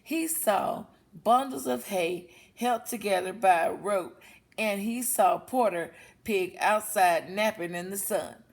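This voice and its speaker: A woman's voice: medium pitch, slow. A woman reading a children's story aloud expressively, as a storyteller for young listeners. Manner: explains words with questions to young listeners.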